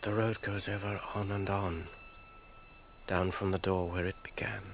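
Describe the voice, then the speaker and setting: Low-voiced, wistful English hobbit. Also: male voice. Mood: wistful, reciting. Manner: reflective, measured. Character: thoughtful gentleman hobbit wanderer, wistful. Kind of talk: radio drama acting.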